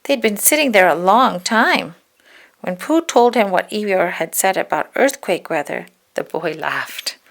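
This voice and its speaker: Creaky-voiced woman reading a children's story. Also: mid-range voice, expressive, measured pace. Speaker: storybook reader. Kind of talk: children's read-aloud narration.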